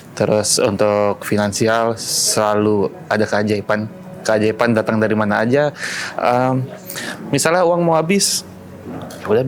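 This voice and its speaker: A man with a Jakarta accent casually giving a testimonial as a training participant. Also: fairly low pitch. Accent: Indonesian, Jakarta. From Jakarta, Indonesia. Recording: faint background noise, room ambience.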